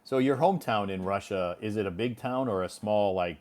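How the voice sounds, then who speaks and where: Chatty low-voiced male interviewer. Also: brisk, darkish tone. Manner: chatty, rambling, many restarts and fillers. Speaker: host. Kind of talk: casual conversational interview.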